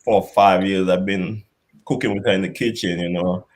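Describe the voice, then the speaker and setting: Dominican man, low voice, relaxed chef guest. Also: normal speed. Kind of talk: interview answers.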